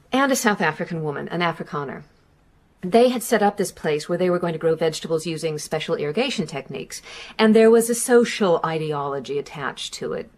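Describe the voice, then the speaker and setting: A grieving, middle-aged American mother in a radio drama. Her voice sits a little low. She tells her story in a reflective, measured way, pausing over her memories.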